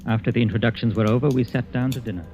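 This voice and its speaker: An actor playing an uneasy gentleman narrator, telling his tale in a dramatic old-time radio style. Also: mid-range voice, steady tone, racing, natural voice. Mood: grave narration. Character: uneasy gentleman guest recounting a grim dinner. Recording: dull vintage radio recording, music behind.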